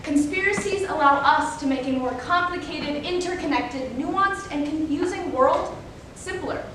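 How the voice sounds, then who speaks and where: A woman's voice: measured pace, a bit bright. A woman delivering scripted lines in a rehearsed reader's theater performance. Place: large echoey room, distant microphone. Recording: very echoey hall, distant, faint rumble.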